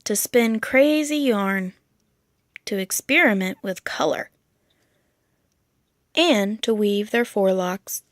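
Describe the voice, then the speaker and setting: Unhurried woman reading a children's storybook. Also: mid-range voice, no room sound, clean studio sound. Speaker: storybook reader. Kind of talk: children's picture book read-aloud.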